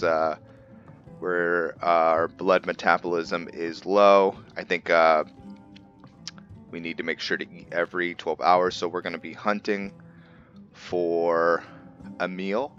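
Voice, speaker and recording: A man giving casual video game commentary as a roleplay host, halting as he thinks aloud. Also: deep voice, little pitch movement, fairly bright tone, muffled recording. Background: game music.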